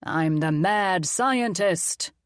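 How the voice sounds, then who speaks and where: A woman voicing a man playfully acting as a mad scientist, slow and drawn out. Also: fairly low pitch, animated, clean tone, dry recording, clean studio sound. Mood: mock menacing. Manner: slow, drawn-out, mock-menacing.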